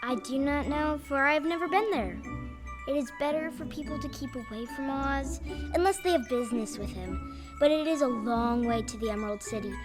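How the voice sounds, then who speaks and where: A woman's voice: sits high, a little fast, over music, close to an everyday voice. A woman playing a courteous, earnest little storybook villager who speaks formally and helpfully in an audio play performed on stage. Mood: cautioning. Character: courteous, solemn Munchkin villager, helpful and cautioning. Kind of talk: stage radio play acting.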